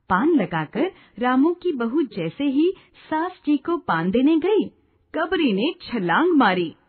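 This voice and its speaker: A woman narrating a scripted literary story in an expressive, measured storytelling manner. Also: a little high, breathy edge. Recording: phone-like, narrow-band sound.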